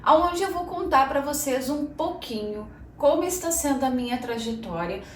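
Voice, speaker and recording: A woman's voice: high voice, animated, normal speed, far from the mic. A Brazilian woman in her thirties hosting a casual personal vlog, speaking Portuguese with a Brazilian accent, chatty and talking straight to her viewers. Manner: chatty, addresses viewers as "gente", frequent "né". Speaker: channel host. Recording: echoey room, voice a bit off-mic, faint noise.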